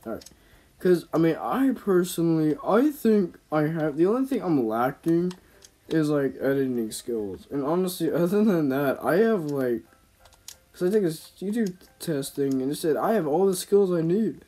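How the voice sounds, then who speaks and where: A man's voice: fairly high pitch, animated, normal speed, warm tone, poor audio. A man giving casual, rambling gameplay commentary in slangy, informal speech, as a gaming YouTuber. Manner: slangy, informal, talking to himself and viewers.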